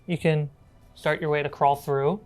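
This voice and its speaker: Low voiced lady running a tabletop rpg, casual narration, bit of music behind. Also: steady tone, normal speed, a little mellow. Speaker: game master.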